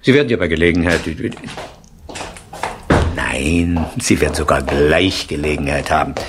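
Deep-voiced blustering English lord. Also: male voice, moderate pace. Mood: sly, boastful. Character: blustering English lord, proud castle owner. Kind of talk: 1970s German radio play acting. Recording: noisy 1970s radio play recording.

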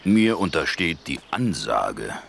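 A man voicing a big, fat, self-important forest bear with a gravelly voice, introducing a children's story pompously and haltingly. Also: extremely low pitch, sing-song, fairly bright tone, slight background noise, exaggerated voice.

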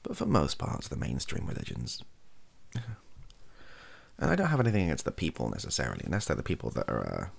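Young British male, soft-spoken ASMR chat; husky, lively intonation, hesitant and informal. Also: extremely low pitch, fairly quick, long pauses. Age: young adult. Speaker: content creator. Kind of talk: softly spoken ASMR-style casual chat to camera. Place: small cluttered bedroom, indoors.

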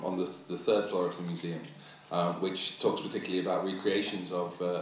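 A middle-aged man introducing a speaker as moderator of a symposium, halting and full of ums and uhs. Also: low-pitched, a little hiss or hum. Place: large museum auditorium. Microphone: stand mic at table. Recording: very echoey hall, mic from a distance.

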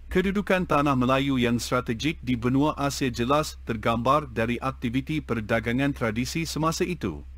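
A man's voice: mid-range voice, no echo. Man reading a text, dry recording. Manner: even, measured reading.

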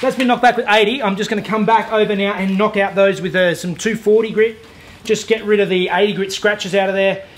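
A man in his thirties with an Australian accent, showing a car body repair in his garage workshop, relaxed and practical with many "uh" fillers. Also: high-pitched, brisk, soft background music. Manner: relaxed, practical, self-deprecating, lots of "uh" fillers. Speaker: DIY car repair host. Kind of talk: hands-on workshop tutorial with voice-over. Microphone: lapel mic.

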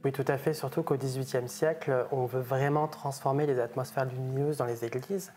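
A man's voice: mid-range voice, steady tone, normal speed, warm tone, soft background music. A young man, an art historian, describing stained glass in an enthusiastic, conversational way with fillers, in a small chapel. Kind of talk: conversational expert commentary. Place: small wood-paneled chapel, indoors.

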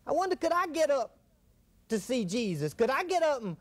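A man's voice: very high voice, very animated, a little fast, pitched above the performer's own voice. A man voicing a small crippled boy who hesitantly wonders if he can get close. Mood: hopeful.

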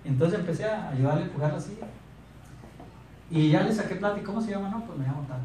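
A man in his thirties with a Mexican accent, giving a lively motivational sales talk on a handheld mic and asking the audience questions. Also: high-pitched, fast, recorded from a distance, a little hiss or hum. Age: 30s. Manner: animated, asks the audience questions. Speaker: sales speaker. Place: meeting room in front of a projector screen. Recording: echoey room recorded from afar.

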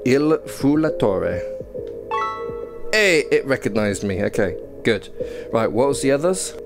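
A man's voice: mid-range voice, very animated, a little slow, creaky. A young man on a headset mic giving casual, self-deprecating commentary while trying a VR game, reading prompts aloud. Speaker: gaming YouTuber. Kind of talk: first-impressions gameplay commentary. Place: small indoor room playing VR. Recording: game music behind.

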